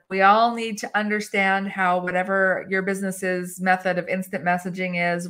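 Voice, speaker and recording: A woman giving HR advice in a live webinar discussion, talking in an animated way, acting out quoted dialogue and laughing often. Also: fairly low pitch. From English-speaking country. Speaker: HR expert guest.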